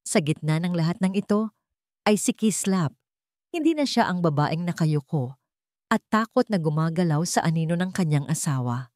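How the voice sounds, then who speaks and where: Female story narrator, lower-pitched voice, dry close recording, dramatic scripted delivery. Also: expressive, moderate pace, a little mellow, no echo.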